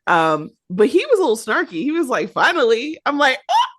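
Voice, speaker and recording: A woman's voice: high voice, huge pitch swings, a little fast, a bit bright. A woman with a Midwestern accent, co-hosting a casual pop-culture podcast and laughing often. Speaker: podcast co-host.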